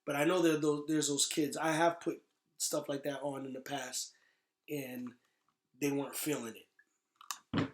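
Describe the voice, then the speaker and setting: A man's voice: fairly high pitch, little pitch movement, measured pace, pauses often. A man chatting casually as a podcast guest, rambling in an emphatic, slangy way. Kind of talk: casual chat. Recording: echoey room.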